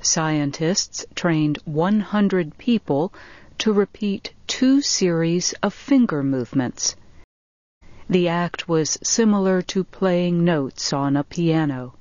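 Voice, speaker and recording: A woman reading scripted narration for English learners, enunciating in a careful, measured way. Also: on the low side, a little mellow, dry recording. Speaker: reader of English learning material. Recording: dull, lo-fi compressed audio.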